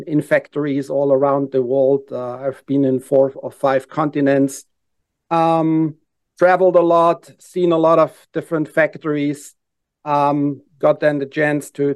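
Guest: man with German accent, methodical, fills pauses with "uh", slightly high voice. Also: no room sound. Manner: steady and methodical, frequent "uh" fillers. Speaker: guest, founder. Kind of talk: interview answers.

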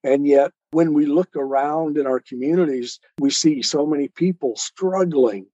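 Husky, muffled man explaining earnestly. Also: on the high side, moderate pace, mellow, no echo. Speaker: guest author. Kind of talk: conversational interview talk on life choices.

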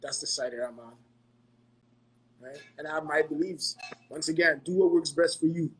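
Man from Ghana, fairly high voice, earnest podcast advice-giver who repeats points and tags them with "right?". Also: normal speed, a bit bright, a little hiss or hum, rough recording. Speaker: podcast co-host giving financial advice. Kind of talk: motivational casual talk.

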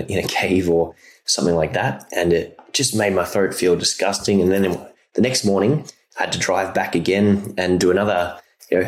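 Australian male podcast host, very low voice, getting over a throat infection, recorded in a roomy space. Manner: chatty and rambling. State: recovering from a sore throat and chest infection. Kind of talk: casual solo podcast talk.

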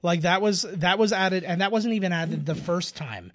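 A man with a fairly high-set voice talks casually through a film. He thinks aloud, halting and restarting his sentences. The sound is very dry, with no room around it. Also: fairly bright tone, no echo. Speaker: podcast co-host. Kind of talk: casual film commentary chat.

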